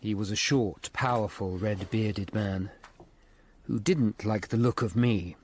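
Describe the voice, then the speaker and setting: A man's voice: on the low side, unhurried, darkish tone. An actor playing a sombre Tudor lawyer who narrates his investigation in a radio drama.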